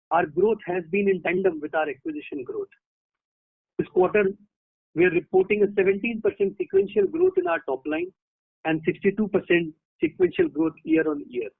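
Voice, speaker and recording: A man with an Indian accent speaking formally and haltingly as a company executive presenting results on a conference call. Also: sits high, vocal fry, no room sound. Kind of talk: prepared earnings call remarks. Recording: narrowband conference phone line.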